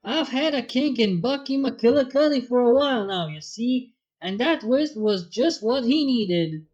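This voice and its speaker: An easygoing country mare from a cartoon fan story, acted by a woman. The talk is folksy, plain and slow, in slightly dull audio with music behind. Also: on the high side, animated, a little mellow, husky, voice pushed up. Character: plain-spoken, easygoing female country farm pony.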